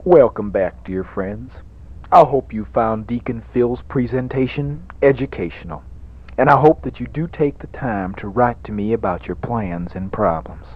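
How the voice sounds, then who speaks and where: A man playing a theatrical, pompous evangelist reverend who preaches a parody radio sermon in oratorical swells and hushed drops. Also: mid-range voice, normal speed, natural voice. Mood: folksy, pompous. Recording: dull lo-fi 1980s radio recording.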